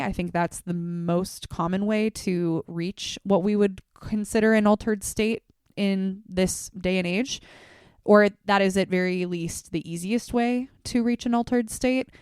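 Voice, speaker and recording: A young adult woman talks straight into the mic in a chatty, earnest way, full of little fillers and repeats. Her voice sits a bit low for a woman and stays smooth and resonant, with a slight stuffiness from a cold. The sound is close and dry, with no room around it. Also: no echo. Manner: chatty, earnest, warm welcoming tone with frequent fillers. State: slightly congested from a cold or allergies. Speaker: podcast host. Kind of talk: solo podcast monologue.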